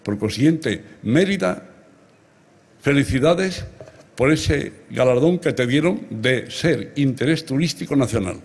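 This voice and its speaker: A man in his seventies from Extremadura with an accent from Spain and a husky voice, giving an emphatic, rhetorical ceremonial speech at a lectern. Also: medium pitch, expressive, measured pace, a little hiss or hum. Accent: Spanish from Spain. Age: 70s. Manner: emphatic, rhetorical, addressing listeners directly. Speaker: award recipient giving a speech. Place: large open-air ancient theatre stage at night, before a big audience. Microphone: lectern microphones. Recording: amplified outdoor speech with crowd sounds.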